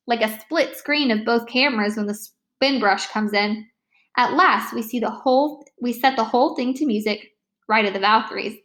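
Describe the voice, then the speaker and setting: Expressive woman reading a story, higher voice. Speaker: read-aloud narrator. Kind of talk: expressive children's book read-aloud in first person.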